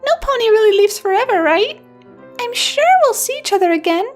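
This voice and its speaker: A woman voicing a bubbly, high-pitched young pony mare who keeps up a cheerful front in a storybook tale, over music. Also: a little fast. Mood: forced cheer. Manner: excitable, exclaiming.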